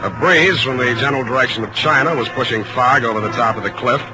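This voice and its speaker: An American actor playing a wry, hard-boiled private detective in a deadpan, clipped 1940s radio style. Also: male voice, medium pitch, fast talker, close to an everyday voice. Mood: grim narration. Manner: deadpan, clipped narration and dry banter. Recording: noisy old radio drama recording.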